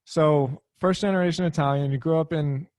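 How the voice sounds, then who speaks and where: A man from the Philadelphia area hosting a casual interview, relaxed and friendly, laughing easily as he asks questions. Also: fairly high pitch, a little fast, dry recording. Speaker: podcast host.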